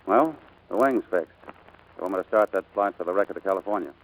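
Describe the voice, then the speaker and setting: An actor playing a breezy, cocky, footloose pilot in a 1940s radio drama, slangy and offhand. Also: male voice, low voice, a little fast, poor audio. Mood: casual, regretful. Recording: vintage 1940s radio broadcast.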